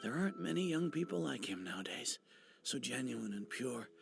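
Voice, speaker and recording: Worried villager with creaky voice. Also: male voice, mid-range voice, very animated, moderate pace, soft background music. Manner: earnest, anxious. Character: worried, earnest male villager, fond and pleading. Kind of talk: video game character acting.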